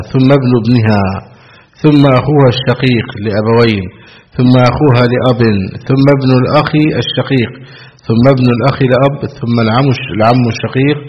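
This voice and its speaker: A man from Egypt giving a religious lesson as a scholar, explaining legal points didactically and repeating phrases for emphasis. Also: mid-range voice. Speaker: Islamic scholar teaching a class. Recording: dull, noisy lo-fi classroom recording with clicks.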